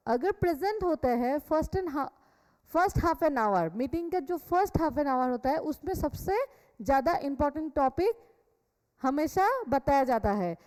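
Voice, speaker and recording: A woman's voice: sits high, darkish tone. An Indian woman teacher lecturing a class in an instructional way, repeating key points and checking that students follow. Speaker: teacher of a company law class. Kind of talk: classroom lecture mixing Hindi and English. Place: classroom with students also attending online. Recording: echoey hall-like room reverb.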